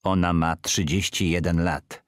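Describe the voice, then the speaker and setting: A man with a lowish voice reads Polish course phrases carefully and clearly, one at a time. The recording is dry and close. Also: fairly even intonation, a little slow, no room sound. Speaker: Polish-side speaker of a language course. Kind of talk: slow scripted phrase reading.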